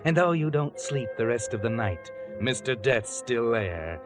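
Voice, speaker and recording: Creepy old radio crime narrator, mid pitch, deliberate and taunting, music playing behind him. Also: male voice, sing-song. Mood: ominous. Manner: deliberate, foreboding, addressing the listener as you. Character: ominous, taunting unseen male storyteller of crime tales. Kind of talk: 1940s radio mystery narration.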